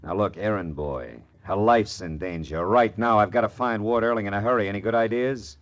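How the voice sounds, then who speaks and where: An actor playing a curt, sardonic private detective in a 1940s hardboiled radio drama, with a clipped, commanding, wisecracking delivery. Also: male voice, fairly low pitch, a little fast, lo-fi sound, natural voice. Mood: brusque, pressing. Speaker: detective and narrator.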